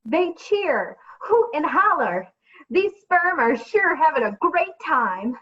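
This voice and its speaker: High-pitched wry woman, slightly dull audio. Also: very animated, slow pace. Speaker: comedy troupe performer, narrator. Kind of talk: scripted comedy narration.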